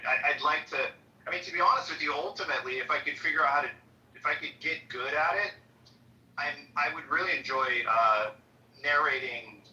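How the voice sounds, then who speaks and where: A man with a high, nasal voice talking casually, stumbling over restarts and turning blunt when he gets heated. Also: pushed and bright. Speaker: room host. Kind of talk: casual conversation. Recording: dull lo-fi audio.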